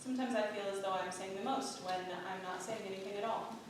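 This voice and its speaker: A woman playing a weary, resolute wife who guards secrets in a period stage play, speaking in a measured, earnest way. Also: fairly even intonation, close to an everyday voice. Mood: quiet, guarded. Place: theatre stage. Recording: roomy echoing stage, distant mic, rumble.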